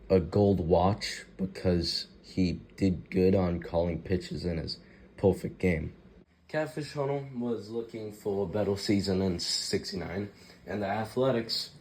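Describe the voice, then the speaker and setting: A man with a raspy, sick-sounding voice narrating baseball history casually, loose and rambling, correcting himself as he goes. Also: low-pitched, a little mellow. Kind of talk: casual narration over game footage.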